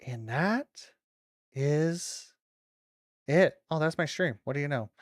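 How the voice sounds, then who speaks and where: A man's voice: on the high side, lively intonation, slow pace, dry recording, studio quality. A man livestreamer talking casually to his chat, informal and teasing, with some swearing. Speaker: livestreamer hosting a watch-along.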